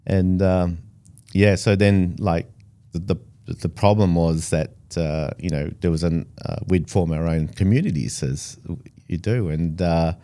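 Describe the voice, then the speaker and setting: A man's voice: low-pitched, unhurried, mellow. A middle-aged Australian man, first language Italian, talking casually as an interview guest with many fillers and restarts. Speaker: engineer guest.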